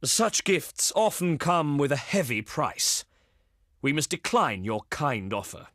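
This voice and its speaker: An actor playing a haughty Roman diplomat of the Senate in a video game, speaking in a pompous, formal, oratorical and condescending way. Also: male voice, on the high side, very animated, takes it slowly, fairly bright tone, no room sound, clean studio sound, heavily acted. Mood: haughty refusal. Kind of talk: theatrical scripted game dialogue.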